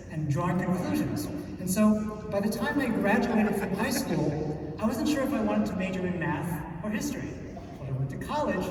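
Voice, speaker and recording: A man from New York City giving a short conference talk as a math teacher, relaxed and conversational with frequent "um"s. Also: high voice. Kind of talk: short prepared talk on stage. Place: large conference hall. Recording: echoey hall, picked up from far off, noisy.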